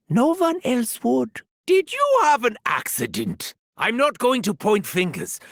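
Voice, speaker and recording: An unhinged German mad scientist from a video game, with a sharp, forceful voice that leaps wildly between muttering and shrieking. He is theatrical, pompous and gleefully cruel, his thick vanity carried in a German accent with a gravelly crackle at the edges. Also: extremely high pitch, no echo, studio quality, full character voice. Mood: condescending. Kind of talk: scripted video game voice lines.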